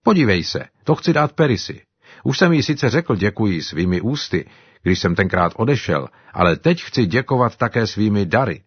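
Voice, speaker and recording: A man voicing a cheerful, grateful African man who talks with excited exclamations. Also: lowish voice, husky, no room sound, lo-fi sound, voice pushed up. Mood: eager.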